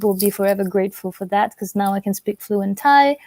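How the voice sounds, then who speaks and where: A woman in her twenties, raised in Italy, talks easily as an interview guest, filling her sentences with casual "like" and "kinda". Her voice is warm and mellow, and the recording is dry and close. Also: medium pitch, fairly quick, dry recording. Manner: relaxed, chatty, many fillers like "like" and "kinda". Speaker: guest singer.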